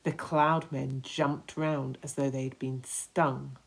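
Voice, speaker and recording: A woman reading a children's story aloud with expressive, dramatic emphasis. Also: rumbling low, slow pace, gravelly, slightly rough.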